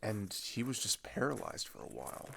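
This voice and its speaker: A young man playing a scared, grieving older teenage boy in an intimate audio roleplay, talking in a halting, casual, rambling way. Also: fairly low pitch, wildly swooping pitch, vocal fry, a bit bright, a little hiss or hum, heavily acted. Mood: uneasy, heavy. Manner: halting, rambling, casual youthful speech with sighs.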